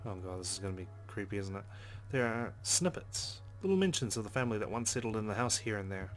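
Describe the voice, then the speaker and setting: A man with a fairly low voice hosts a let's play, reading a visual novel's narration aloud. His delivery is dramatic, with brief casual asides. Game music plays behind him. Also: lively intonation, fast talker. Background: game background music.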